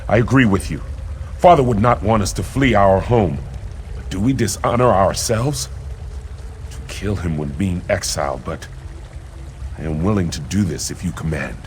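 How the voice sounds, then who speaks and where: A man voicing a grave, deep-voiced prince. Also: moderate pace, slight background noise, lightly acted. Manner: solemn, formal speech. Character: dutiful adult prince, grave and self-sacrificing. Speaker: game character.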